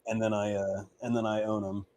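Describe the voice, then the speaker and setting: A man in his mid-forties with a naturally deep voice talks in a loose, easygoing way. He rambles and restarts his sentences often. He is a guest chatting over a call. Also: level tone, fairly quick, background noise. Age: 40s. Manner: rambling, self-correcting, easygoing. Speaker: guest bowling ball reviewer. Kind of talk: casual chat.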